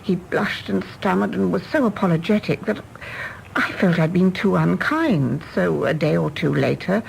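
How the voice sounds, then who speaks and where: Creaky-voiced, rueful genteel English widow. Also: female voice, fairly low pitch, normal speed, a little hiss or hum, low-quality recording. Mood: rueful, confiding. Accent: English Received Pronunciation. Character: weary, self-reproachful genteel widow confessing regrets. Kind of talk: British radio drama acting.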